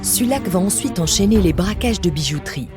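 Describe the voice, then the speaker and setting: A woman's voice: medium pitch, animated, brisk. A woman reading a scripted true-crime story as a podcast narrator. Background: music.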